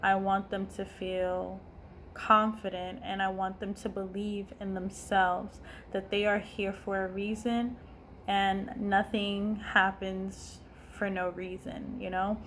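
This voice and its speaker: A woman's voice: medium pitch, little pitch movement, slow, fairly bright tone, a little hiss or hum. A woman in her twenties, an online language teacher, talking casually and earnestly to her viewers. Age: 20s. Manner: earnest and heartfelt, asks herself questions. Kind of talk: casual talk to camera.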